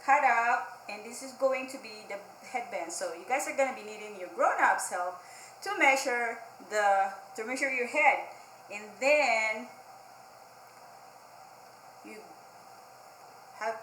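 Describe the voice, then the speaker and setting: Female children's storytime host, unhurried simple instructions with repetition; echoey room with faint noise. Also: on the high side, a bit bright. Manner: simple explanations with repeated phrases, addressing children directly. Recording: roomy echo, faint background noise.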